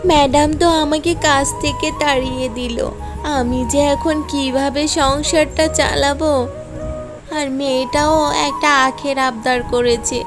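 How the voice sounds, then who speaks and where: A woman acting as a hard-working mother fox talks in a high voice, caring and careworn. It is cartoon dialogue with music clearly underneath. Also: steady tone, measured pace. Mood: worried.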